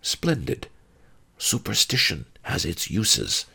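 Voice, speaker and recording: Wry old sage played by a man, audiobook: scheming, musing, lifted and lilting pitch. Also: sing-song, a little slow, vocal fry, breathy edge, full character voice. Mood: amused, thoughtful. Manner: thoughtful, amused, dry.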